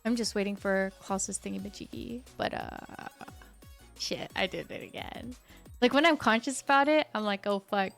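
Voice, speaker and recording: A woman's voice: mid-range voice, moderate pace, vocal fry, a bit bright, faint music behind. A young woman in her twenties, chatting casually on a live stream in a laid-back way, reading chat aloud.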